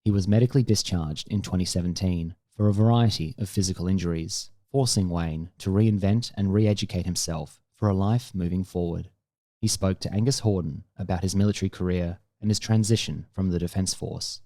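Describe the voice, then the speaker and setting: A man hosting a podcast, reading a measured, scripted introduction. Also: low voice, warm tone, dry recording, studio quality. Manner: measured, formal read-out introduction. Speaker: podcast host. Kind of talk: scripted narration.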